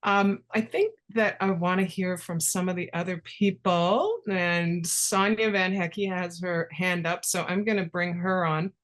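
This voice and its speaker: A woman's voice: on the low side, fairly even intonation, a little slow, hardly any pauses. Enthusiastic woman hosting a talk show. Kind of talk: casual online talk show.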